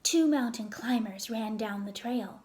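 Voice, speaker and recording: A woman narrating a children's story aloud in a deliberate, expressive way. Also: on the high side.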